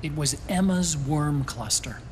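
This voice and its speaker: A man's voice: on the high side, animated, a little slow, slight background noise. A man playing an earnest, thoughtful scientist and concerned friend in a video game cutscene, speaking in an explanatory way. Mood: earnest, reflective. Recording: loud music behind the voice.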